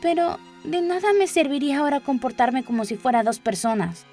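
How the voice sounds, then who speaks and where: A curious little girl from a storybook talks to herself in a high, light voice, acted out by a woman narrator. She muses and gives herself advice like a small governess. Music plays behind her. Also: lively intonation, fairly quick, mellow. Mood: rueful. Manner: musing, self-scolding. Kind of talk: storybook character voice.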